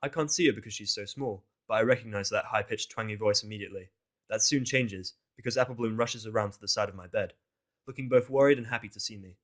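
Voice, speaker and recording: A man's voice: lowish voice, fast talker, a bit bright. A man narrating fan fiction in an informal, exasperated way, swearing and slipping into casual asides.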